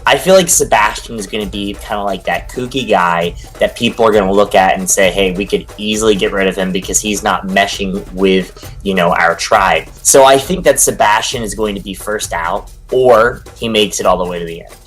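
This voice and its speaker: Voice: low voice, normal speed, few pauses, soft background music. A speaker giving casual, enthusiastic commentary on the cast.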